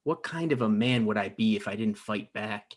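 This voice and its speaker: A man's voice: lowish voice, animated, moderate pace. A man playing a petty, defensive struggling writer in a comedy table read, with a hesitant manner.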